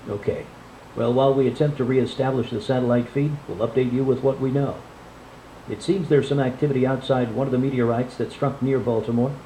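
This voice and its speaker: A man's voice: medium pitch, dark tone, lightly acted. A man playing a flustered, formal television news anchor covering a crisis in a radio drama. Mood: composed, urgent. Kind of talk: live newscast radio drama acting. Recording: echoey room playback, faint noise.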